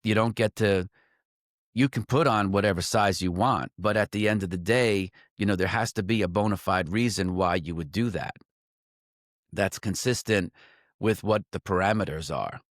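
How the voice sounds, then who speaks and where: Lowish-voiced man musing casually. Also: normal speed, no echo, studio quality. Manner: loose and conversational, heavy on "like" and "um"; restarts and self-corrections mid-sentence. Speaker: trading coach. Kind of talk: reflective solo talk to camera.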